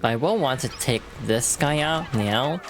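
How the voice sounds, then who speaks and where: A VTuber streamer with a hoarse, froggy voice from allergies, chatting casually while playing a game and laughing at their own slips. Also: mid-range voice, animated, measured pace, faint music behind. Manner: chatty and self-deprecating, many fillers, laughs through words.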